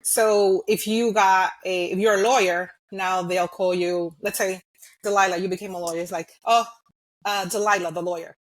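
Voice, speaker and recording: A woman's voice: mid-range voice, steady tone, normal speed. A young woman from New York speaks as an interview guest in a mid-range voice. She talks in an easygoing way and laughs often. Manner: easygoing, laughs often, mixes in Spanish words. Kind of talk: casual interview chat.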